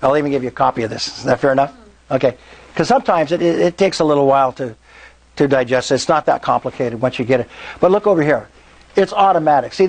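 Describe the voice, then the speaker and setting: A man hosting a real estate meetup, explaining to a live audience and often checking in with "right?" and "okay?". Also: fairly high pitch. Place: meeting room.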